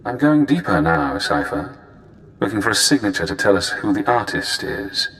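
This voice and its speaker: An actor playing a cool, cunning spy handler in a video game, speaking in measured, cerebral, clipped phrases. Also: male voice, fairly low pitch, very animated, fairly bright tone, poor audio. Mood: fascinated, calm.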